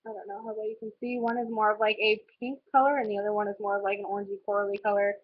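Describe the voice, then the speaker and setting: A woman's voice: medium pitch, level tone, racing, slight background noise. A young woman with a stuffy, nasal cold voice, chatting casually through a makeup tutorial and rambling with lots of "um" and "like". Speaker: beauty vlogger. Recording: slightly dull lo-fi home recording.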